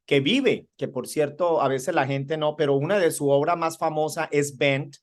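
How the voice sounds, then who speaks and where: A man's voice: on the high side, fairly even intonation, normal speed. A man from Colombia, hosting an interview, asking long questions with frequent restarts and emphatic rises. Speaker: interview host.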